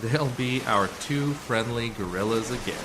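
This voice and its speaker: A man playing a calm, assured undercover rescuer in a sci-fi radio play, speaking in a measured, explanatory way. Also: mid-range voice, close to an everyday voice. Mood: reassuring, matter-of-fact. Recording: noisy community radio drama recording.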